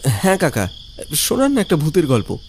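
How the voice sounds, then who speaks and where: A man's voice: on the high side, huge pitch swings, moderate pace, a little mellow, slight background noise. A man voicing a defensive young married village man in a cartoon. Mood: defensive, friendly.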